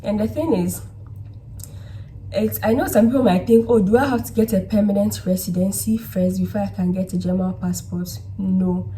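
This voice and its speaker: A woman's voice: mid-range voice, steady tone, moderate pace, dark tone, a little hiss or hum, low-quality recording. A young woman chatting casually in a vlog, giving encouraging advice and often checking in with "okay?". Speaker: vlogger giving study and job advice. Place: indoors at a laptop.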